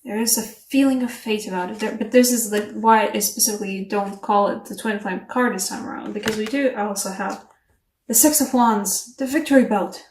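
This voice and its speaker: A woman giving a casual tarot reading to camera, chatty and animated, English not her first language, laughing at herself between rambling asides. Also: mid-range voice, fairly quick, far from the mic. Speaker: tarot reader. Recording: echoey room, voice a bit off-mic.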